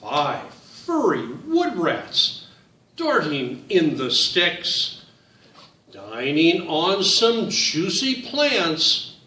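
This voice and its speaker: A man reading his picture book aloud to children, in a slow, sing-song storytime voice that speaks to them directly. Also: fairly high pitch, wildly swooping pitch, pushed and bright, echoey room, recorded from a distance.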